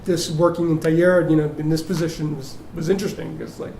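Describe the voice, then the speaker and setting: A man from Venezuela talking quickly about art in his life, rambling with repeated words. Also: on the high side, little pitch movement, creaky, dark tone, roomy sound, rough recording. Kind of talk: interview talk.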